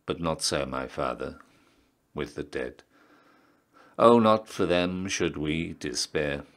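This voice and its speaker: A man with a low-pitched voice gives a slow, dramatic poetry recitation. His phrasing is solemn and theatrical, and the ends of his lines often sink into a hush. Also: expressive. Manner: measured, solemn, theatrical phrasing with hushed line endings. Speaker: poetry reader, town crier.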